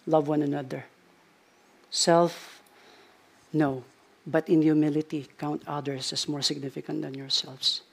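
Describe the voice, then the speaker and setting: Gravelly pastor closing a sermon. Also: male voice, on the high side. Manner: earnest, repeats phrases for emphasis. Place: church.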